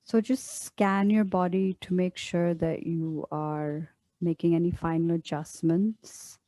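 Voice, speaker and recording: A woman leading a guided meditation as a teacher, speaking in a gentle, soothing, unhurried way with long pauses. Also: on the low side, fairly even intonation, dry recording.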